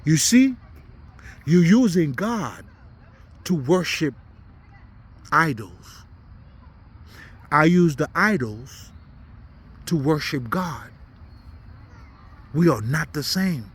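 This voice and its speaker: A man's voice: on the high side, very animated, very slow, pauses often, husky. A man from Haiti, not a native English speaker, giving an unscripted, preaching monologue in blunt, profane slang with emphatic repetition. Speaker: spiritual commentator.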